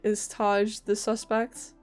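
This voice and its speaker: A woman streams a game with casual, playful commentary. She talks at an unhurried pace in a medium-pitched voice, with faint music behind her. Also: completely flat delivery, darkish tone. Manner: informal, playful, reactive. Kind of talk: casual live gameplay commentary.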